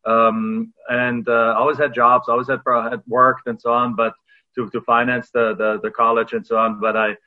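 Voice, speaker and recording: A man's voice: mid-range voice, flat delivery, moderate pace. A man in his forties from Germany with a German accent, telling his story as a podcast guest. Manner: reflective, many fillers and restarts. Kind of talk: casual interview storytelling. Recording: phone-like remote audio.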